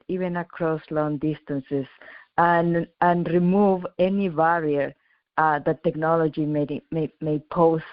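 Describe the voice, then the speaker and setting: A woman's voice: fairly low pitch, no room sound, muffled recording. A middle-aged woman from Chile, an engineer and company founder, speaking as a radio interview guest in an earnest way, restarting often and repeating words.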